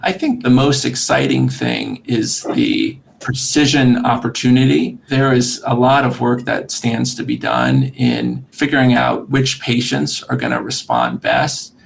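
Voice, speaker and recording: A man speaking as a medical expert guest, explaining in a measured, articulate way with a gravelly, creaky voice. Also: mid-range voice, very animated, slight background noise, rough recording. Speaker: surgeon-researcher guest. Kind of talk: podcast interview explanation.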